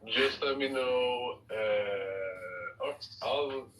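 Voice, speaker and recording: A man's voice: mid-range voice, very slow, fairly bright tone, slight background noise. A Swedish-born man in his twenties on a phone call, talking hesitantly with lots of uhs. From Sweden. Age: 20s. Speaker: caller on speakerphone. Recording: distant speakerphone call.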